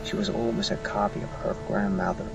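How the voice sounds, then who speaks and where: A man narrates an audiobook drama in a low voice with a heavy creak. The delivery is scripted and dramatic. The sound is a little dull, with music clearly playing behind him. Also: steady tone, a little fast. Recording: muffled recording with music behind.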